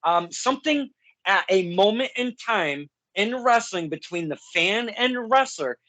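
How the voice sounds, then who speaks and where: A man's voice: high-pitched, lively intonation, measured pace, sharp tone, dry recording. A man hosting a podcast, asking questions in a casual, chatty way with frequent laughs and asides to listeners. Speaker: podcast host. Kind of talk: casual interview.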